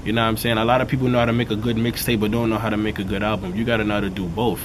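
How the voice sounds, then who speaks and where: A man with a raspy, dark voice, a rapper talking casually in an interview and peppering his talk with "you know what I'm saying". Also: lowish voice, level tone, rapid-fire, background noise. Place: outdoors in a city neighborhood.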